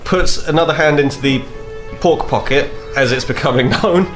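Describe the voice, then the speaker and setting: A man's voice: a little high, normal speed, over music. A man playing a tabletop game, narrating his character with lots of laughter. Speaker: player. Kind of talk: casual tabletop roleplay.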